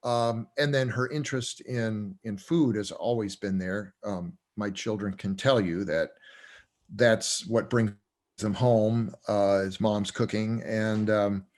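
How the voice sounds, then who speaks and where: A man's voice: lowish voice, steady tone, slow, a little mellow. An older man talking casually in an interview, with many fillers and restarts, chuckling as he goes. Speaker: co-owner and professor.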